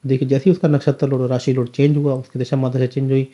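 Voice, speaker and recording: Dark-voiced man explaining like a teacher. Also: mid-range voice, level tone, fast talker, muffled recording. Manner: emphatic teacher, asks rhetorical questions. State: slightly sore throat. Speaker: astrologer guest. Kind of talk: podcast conversation, explaining astrology.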